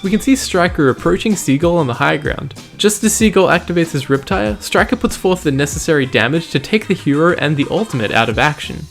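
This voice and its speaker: A man hosting a highlight show, narrating a scripted esports recap in measured, formal, wordy phrasing over background music.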